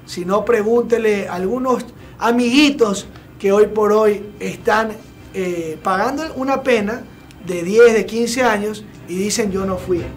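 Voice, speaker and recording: A young man hosting a TV studio show, giving blunt, opinionated commentary with many filler pauses. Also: high-pitched. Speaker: TV show host. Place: TV studio set with sofa. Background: background music.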